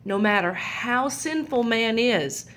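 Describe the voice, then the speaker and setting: A woman telling a Bible story to young children, pointing out the pictures in a gentle, patient way. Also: on the high side, expressive, slow pace.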